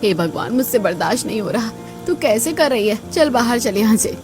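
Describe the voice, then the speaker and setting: A woman's voice: a little high, lively intonation, fairly quick, over music, lightly acted. A woman voicing a scheming, fiercely protective middle-aged mother in a Hindi cartoon story, with a dramatic, scolding delivery. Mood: exasperated.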